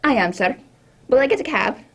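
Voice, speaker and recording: A woman's voice: high voice, lively intonation, fairly quick. A woman playing a kindly, deferential British housekeeper in a radio drama, homely and respectful. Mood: deferential, practical.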